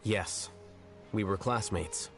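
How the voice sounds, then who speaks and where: An actor playing a cool, blunt young scholar in an anime-style video game, deadpan and measured, with a condescending manner. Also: male voice, fairly low pitch, over loud music. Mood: aloof, explanatory.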